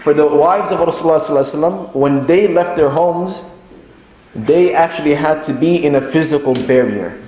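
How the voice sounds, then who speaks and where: A man giving an Islamic religious lecture, explaining haltingly and repeating key phrases for emphasis. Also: on the high side, darkish tone. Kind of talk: sermon-like lecture retelling and explaining a religious story. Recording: very echoey room with faint noise.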